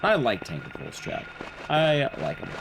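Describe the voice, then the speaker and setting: A man's voice: medium pitch, huge pitch swings, moderate pace, very noisy. A man streaming a game, giving casual, rambling commentary to his chat with playful asides. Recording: loud music under the voice. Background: game and stream music.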